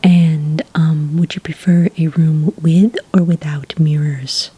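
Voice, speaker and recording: Whispering, breathy woman as a friendly witch clerk. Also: deep voice, fairly even intonation, warm tone, exaggerated voice. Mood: calmly helpful. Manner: unhurried, polite, hesitant customer-service patter. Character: friendly, obliging witch desk clerk at haunted inn. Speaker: ASMR roleplay performer. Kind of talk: soft-spoken whispered ASMR roleplay.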